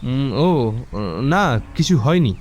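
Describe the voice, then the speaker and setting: A withdrawn young man with a mid-pitched voice, murmuring and hesitating as if hiding his worries. The delivery is that of a mystery audio play, subdued and evasive. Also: expressive, slow, a little mellow. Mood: uneasy, evasive. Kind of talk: Bengali mystery audio drama acting.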